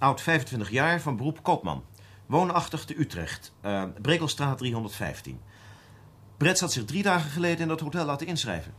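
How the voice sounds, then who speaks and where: A man playing an eager younger police detective in a radio drama, animated and questioning, quick to sound astonished. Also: fairly high pitch. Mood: businesslike. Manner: animated, questioning, repeats words when puzzled. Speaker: actor in a detective radio play. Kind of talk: naturalistic radio drama dialogue. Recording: audible background noise.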